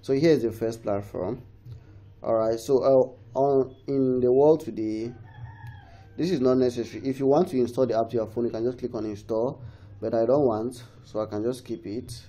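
A man hosting a casual how-to, his voice dulled by a cold. His tone sits mellow and dark at a middle pitch. He rambles, restarts phrases and keeps pleading with viewers to follow along. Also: fairly even intonation, normal speed. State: has a cold. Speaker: tech tutorial host. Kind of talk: casual screen-recorded tutorial.

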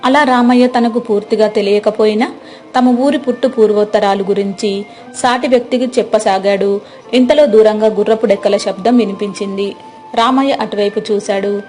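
A woman's voice: mid-range voice, steady tone, moderate pace, a little mellow. A woman reading a scripted story as a storyteller. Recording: music behind the voice. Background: background music.

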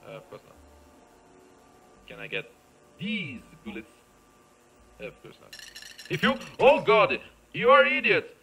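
A man's voice: high-pitched, wildly swooping pitch, takes it slowly, gravelly, pauses often, bright, forceful tone, slight background noise, low-quality recording. A man giving casual, off-the-cuff game commentary, muttering playfully to himself, repeating phrases and exclaiming as he plays. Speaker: gamer recording a let's play.

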